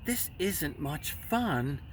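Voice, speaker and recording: A man voicing a huge, gentle, faithful storybook elephant who speaks earnestly and deliberately. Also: a little high, big pitch swings, a little hiss or hum, full character voice, poor audio. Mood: grumbling.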